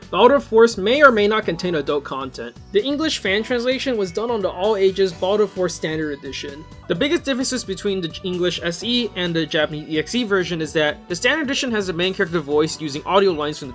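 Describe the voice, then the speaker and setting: A man narrates a scripted video review at a quick clip. His voice sits high and moves around animatedly in pitch. He talks informally, like a fan presenting a favorite. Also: a bit bright. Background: game soundtrack music.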